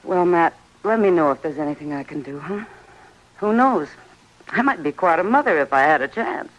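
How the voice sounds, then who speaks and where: An American actress playing a warm, teasing frontier saloon woman, the marshal's confidante, in a 1950s radio western. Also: sits low, expressive, moderate pace. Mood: teasing, wistful. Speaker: supporting actress. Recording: noisy old radio broadcast recording.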